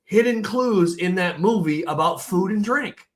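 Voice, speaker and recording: A man from Pennsylvania with a raspy, hoarse voice after being sick, co-hosting a podcast in rambling casual chat. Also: high voice, lively intonation, measured pace. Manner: rambling, repeats himself. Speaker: podcast co-host.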